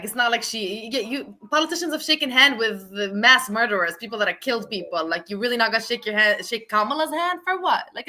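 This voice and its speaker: Bright, emphatic woman podcast co-host. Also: fairly high pitch. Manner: indignant, emphatic. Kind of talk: casual news discussion.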